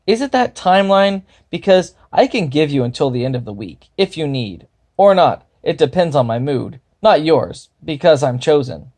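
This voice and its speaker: Brief: a man putting on a smug, sneering kobold general, slow and mocking, for a storybook. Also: a little high, very animated, a little mellow. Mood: taunting.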